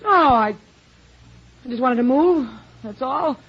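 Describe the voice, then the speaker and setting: A woman's voice: sits high, animated, slow, breathy edge, exaggerated voice. An actress playing a hostile, defensive, boozy woman who is curt and suspicious, in an old-time radio drama. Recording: dull old radio recording.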